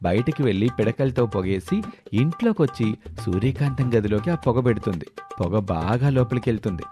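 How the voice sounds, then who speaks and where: A man's voice: mid-range voice, expressive, normal speed, mellow. A man narrating a scripted moral story as the storyteller. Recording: music behind the voice.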